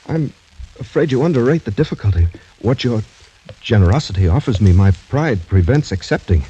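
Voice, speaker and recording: A young French gentleman facing doom with gallant, bitter pride. His voice is very dark and deeply husky, with formal, courtly phrasing from golden-age radio drama. The old broadcast recording sounds dull. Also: lively intonation, brisk. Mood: proud, resigned. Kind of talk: 1940s-50s American radio drama acting.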